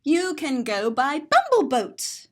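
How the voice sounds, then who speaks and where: Slow, very animated high-voiced woman storyteller. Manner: playful, expressive, exclaiming and explaining simply. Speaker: children's storybook reader, teacher. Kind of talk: animated read-aloud to children, describing pictures.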